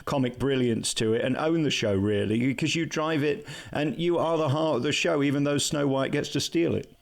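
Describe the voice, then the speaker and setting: A man with an English accent interviewing in a fluent, chatty and flattering way. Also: mid-range voice, moderate pace, gravelly. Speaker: entertainment interviewer.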